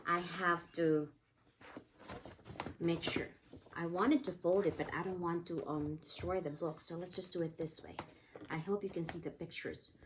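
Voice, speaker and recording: A woman's voice: on the low side, slight background noise. A woman teaching young children in a read-aloud lesson, explaining simply and prompting with repeated questions. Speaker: pre-K teacher.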